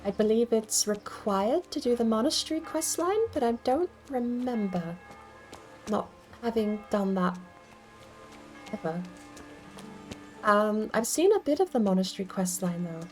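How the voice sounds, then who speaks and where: A woman's voice: fairly high pitch, lively intonation, a little slow, long pauses, a little mellow, soft background music. A woman streaming a game from home, rambling and thinking aloud as she plays. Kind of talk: casual gameplay commentary.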